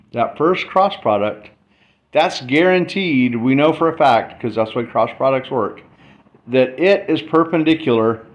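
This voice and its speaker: A man giving an informal explainer, thinking aloud in short, stop-start phrases as he demonstrates. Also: mid-range voice. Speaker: presenter explaining math. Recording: dull lo-fi mic, faint background noise.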